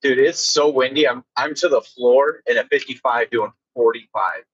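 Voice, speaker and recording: Muffled lo-fi voice chat; male, raspy, unhurried, crude and jokey in casual talk. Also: mid-range voice, fairly bright tone. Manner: crude, joking. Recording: dull lo-fi voice chat.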